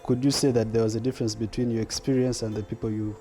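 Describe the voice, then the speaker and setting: A man's voice: on the low side, little pitch movement, quick pace, warm tone. A man hosting an interview, asking probing questions and often finishing his guest's sentences. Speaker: interviewer host. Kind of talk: conversational interview.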